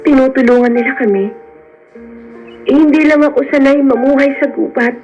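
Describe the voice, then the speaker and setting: High-voiced sheltered young lady, radio drama, woman acting. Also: steady tone, normal speed, a little mellow, lightly acted. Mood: fearful, sorrowful. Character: sheltered rich young woman, gentle, fearful, torn in love. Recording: dull lo-fi radio, music behind.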